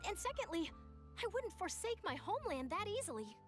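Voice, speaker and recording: High-pitched eager young woman reporter. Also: big pitch swings, a little fast. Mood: reflective, sincere. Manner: enthusiastic, articulate, theatrical. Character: bright, eager young female journalist, passionate and upbeat. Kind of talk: anime game voice acting. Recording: background music under the voice.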